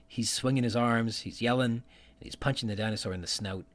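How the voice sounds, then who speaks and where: A man's voice: medium pitch, brisk. A man hosting a podcast, giving bookish literary commentary from prepared notes and quoting passages as he goes. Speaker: podcast host.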